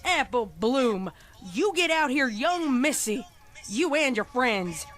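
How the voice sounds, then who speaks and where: A woman plays a young farm pony mare with a high voice and a drawl. She is a folksy, emphatic older sister in a dark storybook reading, with faint music behind her. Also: big pitch swings, slow, full character voice. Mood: stern scolding. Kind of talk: dramatic fan fiction reading.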